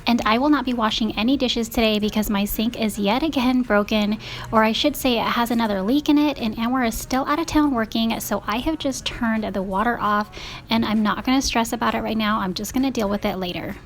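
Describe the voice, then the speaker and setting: A woman's voice: a little high, brisk. A woman narrating her household chores in a conversational, explanatory voice-over, as a home vlogger would. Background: music behind the voice-over.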